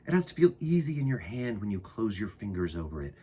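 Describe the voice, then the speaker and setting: A man with a husky voice reading aloud to children as a storytime host, expressively, with dramatic emphasis and pauses. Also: lowish voice, big pitch swings, dark tone. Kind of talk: animated picture-book read-aloud for children.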